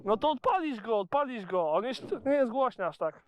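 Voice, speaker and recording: A man's voice: extremely high pitch, big pitch swings, quick pace. A native Polish man chatting casually and swearing freely with his riding mates over a helmet mic outdoors. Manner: sweary, informal banter. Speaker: motorcycle vlogger. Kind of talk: casual chat with riding companions. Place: outdoors on a motorcycle ride. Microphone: helmet-mounted microphone. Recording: noisy helmet intercom outdoors.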